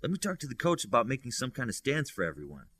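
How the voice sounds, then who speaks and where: A man's voice: mid-range voice, huge pitch swings, quick pace, a bit bright, dry recording. A man voicing an easygoing, helpful young male student in an audiobook, casual and upbeat.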